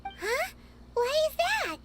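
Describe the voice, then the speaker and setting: Casting: cute inquisitive little game fairy, squeaky, drawn-out delivery over loud music. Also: female voice, very animated, full character voice. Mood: curious, perky. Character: tiny squeaky childlike fairy companion, inquisitive. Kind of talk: video game voice acting.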